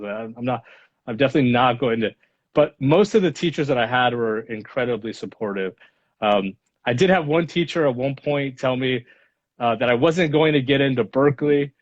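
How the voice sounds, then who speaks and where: A man's voice: mid-range voice, normal speed. A man in his thirties from Los Angeles, whose first language is Spanish, answers interview questions in a reflective way with many fillers. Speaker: guest speaker, lawyer. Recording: dull lo-fi audio.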